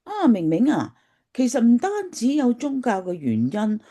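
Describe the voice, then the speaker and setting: A woman's voice: fairly high pitch, big pitch swings, moderate pace, dark tone. A woman playing a practical, matter-of-fact mother in a Cantonese radio drama. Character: practical, brisk mother of a schoolchild.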